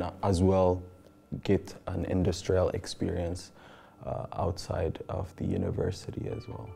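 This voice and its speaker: A young man from Ethiopia, a master's student, speaking haltingly with many pauses in an interview. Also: sits low, gravelly, dark tone. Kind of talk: interview testimonial. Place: indoor room by a window. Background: background music.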